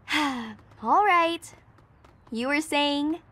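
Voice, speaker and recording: Sassy little girl, really high voice, unhurried, cartoon game. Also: pushed and bright, a little hiss or hum, exaggerated voice. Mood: exasperated. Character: sharp-tongued, sensible young girl, about ten. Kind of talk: cartoon video game acting.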